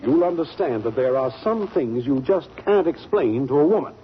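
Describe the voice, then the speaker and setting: A man's voice: medium pitch, expressive, moderate pace, natural voice. An American man acting a sheepish, flustered middle-aged father in a 1940s radio comedy, hesitant and blustery. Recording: noisy, muffled 1940s radio recording.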